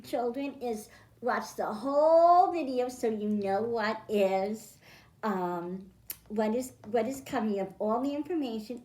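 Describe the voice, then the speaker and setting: Female storytime host, higher-pitched, teaching small children in simple terms; echoing room. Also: a little mellow. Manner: simple explanations addressed to children, with questions to them. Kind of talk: children's storytime read-aloud and science lesson. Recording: roomy, echoing indoor sound.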